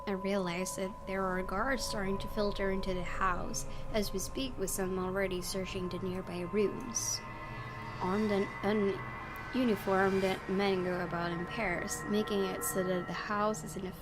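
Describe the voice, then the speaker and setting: A woman's voice: medium pitch, little pitch movement, measured pace. A woman hosting a gaming video, reading a visual novel aloud and adding casual commentary. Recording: game music behind the voice.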